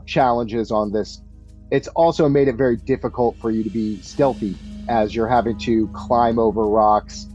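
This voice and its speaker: A man running a tabletop game as game master, narrating casually in a halting, think-as-he-goes way. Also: medium pitch, mellow. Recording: dull lo-fi audio, music underneath. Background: background music.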